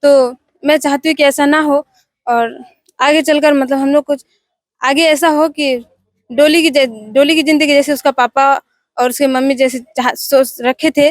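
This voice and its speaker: A young woman talks in a very high voice with hardly any room echo. She shares her impressions in an informal, halting way, reaching for words and rephrasing as she goes. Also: fairly even intonation. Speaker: film festival participant. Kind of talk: informal spoken film review.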